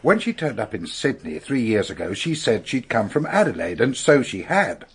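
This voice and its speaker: A dogged British police investigator in a radio drama. His mid-pitched voice is husky and animated, with formal, precise phrasing that builds an accusation step by step. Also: normal speed, full character voice. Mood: pressing, methodical.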